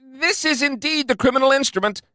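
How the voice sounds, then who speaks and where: A man voicing a skinny male police officer with a thin, reedy, squeaky voice, in an audiobook reading. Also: fairly quick, pushed and bright, no echo, studio quality. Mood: squeaking. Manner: nervous squeak.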